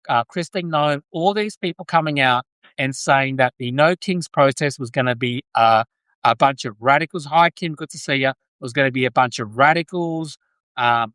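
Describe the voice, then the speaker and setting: A man's voice: fairly high pitch, bright, forceful tone, clear, resonant tone, no echo, poor audio. Australian man commentating, close dry sound. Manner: halting, repeats and restates phrases, breaks off to greet viewers. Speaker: podcast host, political commentator. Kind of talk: casual live-stream political commentary. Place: Brisbane, Australia.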